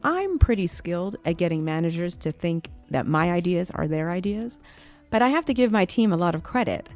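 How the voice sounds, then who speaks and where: A woman's voice: fairly low pitch, lively intonation, fairly quick, darkish tone. A woman playing a wry, confident space company executive in her fifties, chatting in an audio drama log entry, with music underneath. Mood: breezy, proud. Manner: chatty, wry, dryly teasing.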